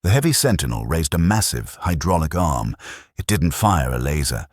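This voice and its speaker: Man narrating an audiobook, very deep voice, husky, lively intonation, dry close sound. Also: no echo. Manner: dramatic, measured delivery.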